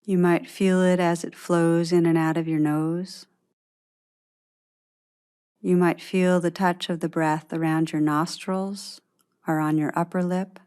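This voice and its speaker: A woman leads a guided meditation in a somewhat low voice. She speaks very slowly and soothingly, leaving long pauses between gentle, measured instructions. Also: little pitch movement, smooth. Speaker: meditation teacher guiding a practice.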